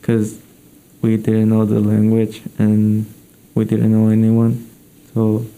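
Teen boy from Mexico, halting, very dark monotone. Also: on the low side, poor audio. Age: teens. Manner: halting, simple phrasing. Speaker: student interviewee.

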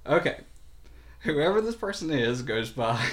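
A man's voice: on the high side, very animated, unhurried. A man co-hosting a podcast in casual chat, telling stories animatedly and laughing often. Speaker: podcast co-host.

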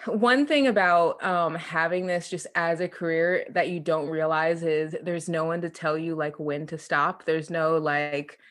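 A young woman from Central Illinois speaks as a podcast guest. Her tone is bright and forward, and her pitch sits on the low side for a woman. She laughs a lot and fills her sentences with "like" and "um.". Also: steady tone, unhurried, few pauses. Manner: giggly, heavy use of "like" and "um". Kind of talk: casual interview chat.

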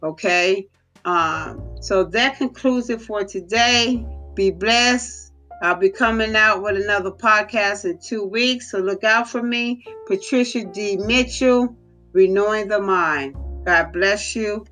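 Bright-voiced woman preaching earnestly. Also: mid-range voice, slow pace, faint music behind, low-quality recording. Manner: earnest, exhorting, repeats phrases for emphasis. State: slightly hoarse, recovering voice. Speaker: podcast host giving devotional teaching. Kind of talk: informal sermon.

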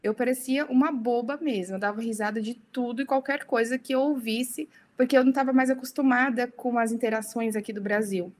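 Chatty young woman, fairly high voice. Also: brisk, hardly any pauses, a little mellow. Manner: chatty, many tag questions. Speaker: interview guest. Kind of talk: casual podcast interview.

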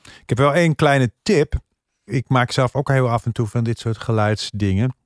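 A man's voice: medium pitch, moderate pace, dry recording, studio quality. A middle-aged or older man talking earnestly and explaining things in a casual podcast review.

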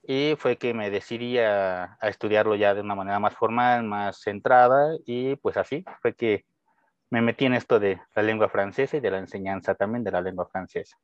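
A man's voice: on the low side, normal speed, dry recording. A young Mexican man with a Mexican Spanish accent, a guest teacher answering casually and friendly, with hesitations and restarts. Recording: dull lo-fi dry sound.

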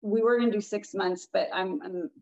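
A woman with a raspy voice, chatting as a guest in an interview, rambling and restarting her sentences. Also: mid-range voice, steady tone, moderate pace, a little mellow, dull audio. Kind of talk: casual interview talk.

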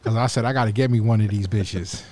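A young man co-hosting a podcast, telling a bar story in casual banter with friends and laughing loudly. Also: fairly low pitch, quick pace. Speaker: podcast co-host.

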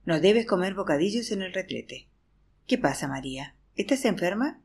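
A woman reads a first-person audiobook with a low, husky voice. She shifts into an animated, weary teacher talking to a noisy class. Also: moderate pace. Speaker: audiobook narrator. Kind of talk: expressive audiobook reading.

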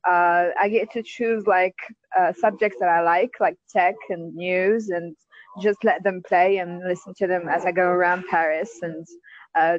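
A woman's voice: on the low side, dry recording, highs cut off. A young woman whose first language is Arabic, chatting on a call as a podcast listener, hesitant with many fillers and restarts. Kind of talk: casual call-in chat.

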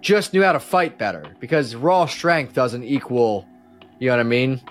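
A man chatting casually as a podcast guest, talking in a rambling, halting way with frequent restarts. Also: mid-range voice, lively intonation, faint music behind. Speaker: podcast guest, audio drama creator and musician.

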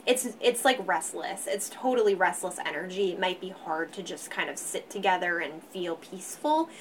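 Quiet-voiced woman a little off-mic. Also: lowish voice, moderate pace, distant mic, a little hiss or hum. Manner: quiet voice that gets louder when excited. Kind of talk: casual astrology chat.

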